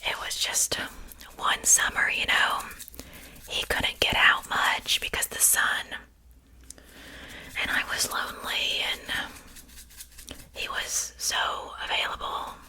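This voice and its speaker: A chatty, faintly haughty witch, acted out by a woman for an ASMR roleplay. She speaks entirely in a breathy whisper with a very bright, sharp edge. Her delivery is unhurried and confiding, as if sharing gossip over her cooking. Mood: gossipy, rueful.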